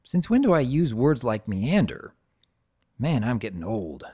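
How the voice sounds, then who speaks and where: A man's voice: mid-range voice, sing-song, unhurried, dark tone, breathy edge, no room sound, clean studio sound. A man playing an easygoing, avuncular adult dragon who tells his own story with wry, conversational asides. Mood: wry, musing. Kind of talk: first-person dramatic reading of fan fiction.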